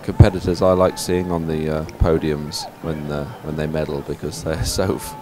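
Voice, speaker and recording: A man giving live sports commentary as presenter, speaking in a halting, hesitant way. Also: a bass voice, level tone, noisy background.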